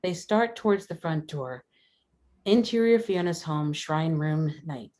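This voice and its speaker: A woman's voice: low-pitched, a little slow, a little mellow. A woman reading screenplay stage directions as scripted narration, matter-of-fact in delivery. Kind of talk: scripted narration, table read.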